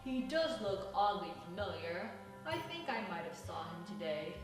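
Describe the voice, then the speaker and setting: Pondering, awkward male shark, acted by a woman, pitched down from narration, hesitant, echoey distant sound. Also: sing-song, normal speed, over music. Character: slow-witted, thoughtful, awkward male shark. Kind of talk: children's storybook character reading. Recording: echoey room, distant mic.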